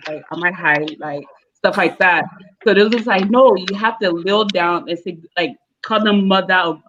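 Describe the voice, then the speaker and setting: Nigerian-American woman, bright lo-fi voice. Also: on the low side, a little hiss or hum. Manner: rambling, many fillers and restarts. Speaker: panel guest. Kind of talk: casual discussion. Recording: dull lo-fi sound.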